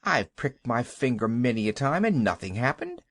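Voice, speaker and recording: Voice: medium pitch, big pitch swings, moderate pace, a bit bright, no room sound. A reader voicing a sensible young girl who speaks matter-of-factly.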